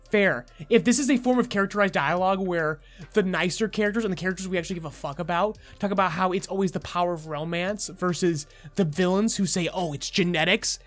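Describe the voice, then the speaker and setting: A man in his thirties hosting a casual podcast, talking excitably and emphatically, repeating himself and swearing freely. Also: sits high. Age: 30s. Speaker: podcast host. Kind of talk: casual excitable chat about manga.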